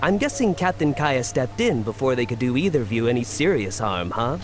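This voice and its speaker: An actor playing a blunt, curious young companion in a video game, casual and wry. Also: male voice, mid-range voice, big pitch swings, fairly quick, close to an everyday voice. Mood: wry, puzzled. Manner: casual, cheeky. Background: background music.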